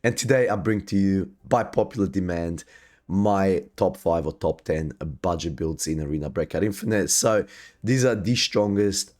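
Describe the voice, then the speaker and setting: A very low-pitched man walks viewers through his picks for a video game with hyped enthusiasm and plenty of ums. He is sick and losing his voice, but stays upbeat and chatty throughout his guide. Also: darkish tone. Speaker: gaming video host. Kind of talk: gaming gear guide commentary.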